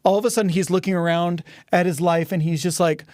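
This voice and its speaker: A thoughtful man guesting on a film podcast lays out his points in a measured, analytical way. His voice sits a touch high and sounds dry and close. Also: steady tone, darkish tone, no echo. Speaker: podcast guest, audio drama producer. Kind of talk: casual film discussion.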